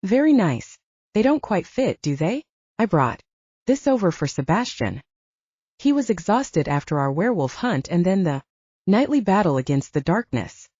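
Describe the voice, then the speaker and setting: Audiobook role: confident young man, teasing and courtly, performed by a woman. Mellow tone, slightly lo-fi recording. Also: fairly low pitch, very animated, moderate pace, breathy edge, dry recording. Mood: teasing, assured. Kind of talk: audiobook character dialogue.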